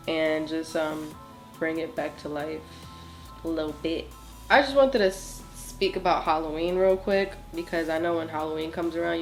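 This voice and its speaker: Twenties girl, stuffy nasal cold voice, chatty makeup vlog, kinda low voice, faint music under it. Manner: chatty, apologetic, lots of um and like. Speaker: beauty vlogger. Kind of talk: casual makeup tutorial chat. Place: small home studio with plain wall.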